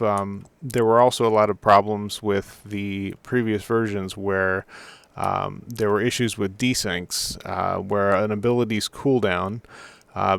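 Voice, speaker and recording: Slightly hoarse low-voiced man explaining software. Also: dry recording. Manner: halting technical explanation, circling back mid-sentence. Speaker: game add-on developer. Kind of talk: screen-recorded software walkthrough.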